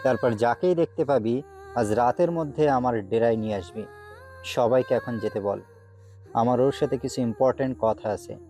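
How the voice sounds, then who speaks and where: Young man, acted, campus thug leader, dark low-edged tone, medium pitch, commanding swagger, audio drama. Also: normal speed, faint music behind, close to an everyday voice. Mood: taunting, commanding. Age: young adult. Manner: commanding, taunting. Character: cruel, swaggering young male campus gang boss. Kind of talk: Bengali audio story acting.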